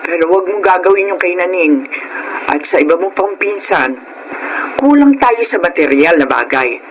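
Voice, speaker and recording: A humble, kind-hearted parent with a Filipino accent in a sentimental TV drama. The voice is somewhat high and gently moralizing. A little noise sits in the recording. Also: male voice, expressive, normal speed, rough recording. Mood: gentle, earnest.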